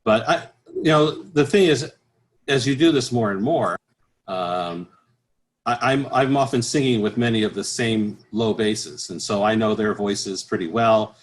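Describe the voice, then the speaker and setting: A man speaking as an interview guest, explaining things conversationally as a choral professional, with halting, repeated phrases. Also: on the low side. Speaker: interview guest, professional bass singer and choir director.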